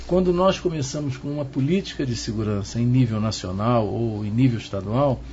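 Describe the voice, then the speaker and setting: A middle-aged man with a Carioca Brazilian accent, answering interview questions as an expert in a measured, didactic way, often checking that the listener follows. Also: a little mellow. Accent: Carioca Brazilian Portuguese. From Rio de Janeiro, Brazil. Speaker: interviewed expert, professor of security. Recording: muffled low-fi sound, noticeable background noise.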